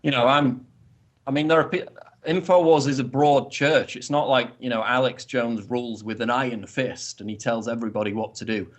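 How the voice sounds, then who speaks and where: A man from northern England whose northern English accent has been toned down over the years. He answers interview questions in a measured, explanatory way with a wry edge. His voice sits in a middle range for a man. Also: mid-range voice. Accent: softened northern English. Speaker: guest commentator.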